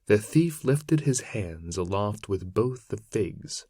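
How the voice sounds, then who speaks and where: A man gives a dramatic reading of epic verse in a fairly low voice that rises and falls widely. His delivery is solemn and very unhurried, in a dry, close recording. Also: animated, dark tone, husky, no room sound. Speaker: narrator reading poetry aloud. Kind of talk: dramatic recitation of an epic poem in archaic English.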